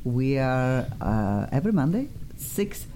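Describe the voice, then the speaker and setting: A woman with a very deep, mellow voice and a Polish accent. She hosts talk radio informally, gushing and chatting as she goes. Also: very animated, slow, slight background noise. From Poland. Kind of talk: casual, chatty radio hosting.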